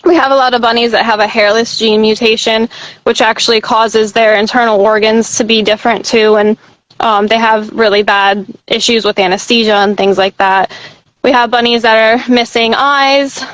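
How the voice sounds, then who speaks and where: Woman calmly explaining rescue animals. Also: normal speed, dry recording, poor audio. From United States. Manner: slips into a high-pitched pet voice with animals. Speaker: sanctuary founder. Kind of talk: casual chat on a live video call. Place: outdoors at an animal sanctuary.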